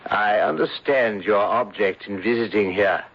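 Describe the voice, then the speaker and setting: Falsely sorrowful gentleman schemer, lowish pitch, formal courteous speech, radio play, muffled vintage recording. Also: unhurried, husky. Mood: coldly defiant. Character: smooth, plausible gentleman villain, falsely sorrowful. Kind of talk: old-time radio drama acting. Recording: muffled old radio broadcast.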